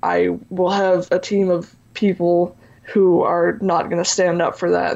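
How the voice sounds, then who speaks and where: A teenage boy who still has a high voice, talking earnestly as an interview guest and using lots of "you know" and "like". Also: vocal fry, highs cut off. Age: teens. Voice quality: high voice for a man. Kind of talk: candid interview talk.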